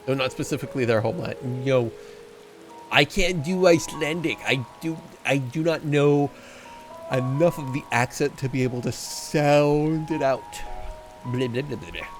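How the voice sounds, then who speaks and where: A man's voice: mid-range voice, moderate pace, faint music behind. A man streaming a game, chatting casually and reading the dialogue aloud with joking asides. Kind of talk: casual let's-play commentary.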